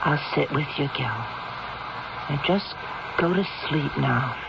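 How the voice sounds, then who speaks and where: An actress playing a scheming wife who feigns grief over her dying husband, halting and dramatic, in a seventies radio drama. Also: low voice, gravelly, exaggerated voice. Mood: soothing, insistent. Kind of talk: 1970s radio drama acting. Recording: noisy, muffled vintage radio recording.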